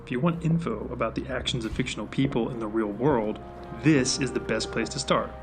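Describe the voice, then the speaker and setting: An actor playing a stern, cynical field agent in command, speaking curtly in an audio drama. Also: male voice, medium pitch, brisk, over music, lightly acted. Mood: brisk, businesslike. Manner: curt, commanding.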